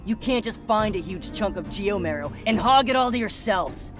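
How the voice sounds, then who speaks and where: A man's voice: sits high, lively intonation, moderate pace. A man voicing a menacing, callous, hungry thug in a video game, with a mocking, threatening drawl. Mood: aggrieved, sneering. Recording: game music behind the voice. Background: background music.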